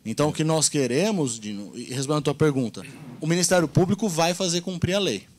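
A man's voice: on the high side, fast. Man explaining at a meeting. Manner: explanatory, earnest. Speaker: meeting participant. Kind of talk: public meeting discussion. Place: council meeting chamber. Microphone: handheld mic.

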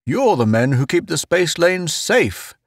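A man plays an earnest spaceman who keeps urging and encouraging. His delivery is lively, pitched a touch high, well-meaning and insistent, with a dry audiobook sound. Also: unhurried, no room sound, exaggerated voice. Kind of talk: audiobook character voice.

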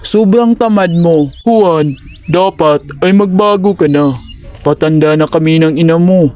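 A woman's voice: lowish voice, unhurried, warm tone, pitched below the performer's own voice, natural voice. A woman voicing a strict, weary aging father in a cartoon story who talks in a heavy, serious tone. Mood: scolding.

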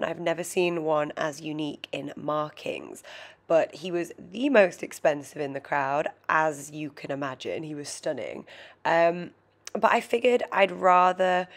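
Low, nasal young British woman, congested. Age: 20s. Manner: gushing, chatty. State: congested with a cold. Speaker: vlogger. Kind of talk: casual voiceover narration.

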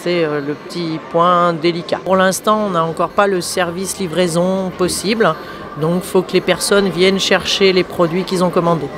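A woman from catering staff, calmly and plainly listing services into a handheld mic at a fair. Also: fairly low pitch, normal speed, background noise. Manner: matter-of-fact listing of services. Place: indoor exhibition hall.

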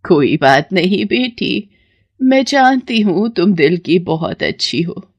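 A woman's voice: fairly low pitch, sing-song, takes it slowly, husky, muffled recording. A woman acting out dialogue in a story.

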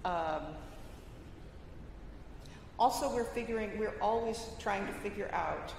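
A woman's voice: medium pitch, slow, gravelly. A woman on a conference panel speaking plainly and encouragingly about mentoring, in a large hall. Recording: echoey hall recording with light noise.

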